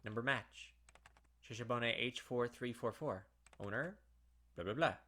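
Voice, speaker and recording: A man's voice: medium pitch, measured pace, sharp tone. A man giving casual gaming commentary and reading on-screen text aloud, talking through his theories in a puzzled way. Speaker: gaming video host. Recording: clear background game noise.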